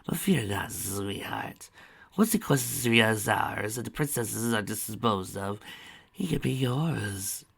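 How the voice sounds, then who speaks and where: A man voicing a cold, scheming changeling queen mother who speaks in a soft, hushed whisper. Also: medium pitch, expressive, unhurried, gravelly, husky, full character voice. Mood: cooing.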